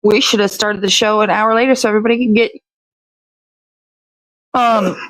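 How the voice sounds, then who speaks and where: A woman's voice: medium pitch, steady tone, moderate pace, fairly bright tone, dry recording, poor audio. A woman hosting a morning show in casual chat, talking in a blunt, sweary, rambling way.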